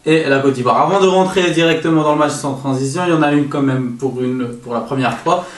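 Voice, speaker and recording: A man giving an informal, halting football match analysis on his own, often stopping to correct himself. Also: mid-range voice. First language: French-speaking, not an Arabic speaker. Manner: hesitant, halting, self-correcting delivery. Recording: roomy echo, distant microphone.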